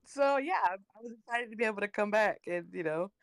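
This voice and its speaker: A woman speaks in an informal, conversational style. Her voice is of average pitch. It is recorded dry, free of room echo. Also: expressive, brisk. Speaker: author, amateur voice actor. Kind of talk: casual chat.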